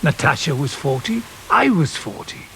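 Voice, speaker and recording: A man's voice: on the high side, sing-song, moderate pace, creaky, fairly bright tone, slight background noise, exaggerated voice. An actor playing a wistful elderly man in an audio drama, reminiscing about lost loves. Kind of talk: audio drama dialogue and narration.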